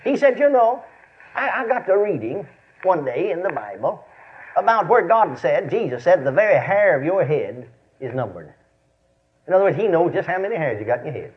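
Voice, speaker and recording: Animated Texan preacher, dull recording. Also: male voice, high voice, normal speed. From Texas, United States. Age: 50s. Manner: repeats phrases for emphasis, checks in with listeners. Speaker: preacher and Bible teacher. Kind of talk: folksy storytelling sermon.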